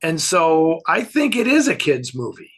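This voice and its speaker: Male film pundit, higher-pitched and bright, loose conversational delivery with halting, think-aloud phrasing. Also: sing-song, husky. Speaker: film expert answering fan questions. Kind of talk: casual film discussion.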